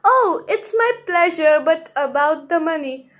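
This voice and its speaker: Puppet-show young king, acted by a woman, very high pitch, excitable, hapless, melodramatic exclamations. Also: measured pace. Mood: eager.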